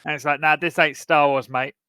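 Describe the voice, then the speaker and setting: A man's voice: a little high, fairly even intonation, brisk, sharp tone, clear, resonant tone. A man co-hosting a podcast, talking about films in a casual, blokey, informal way. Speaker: podcast co-host. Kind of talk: casual film discussion.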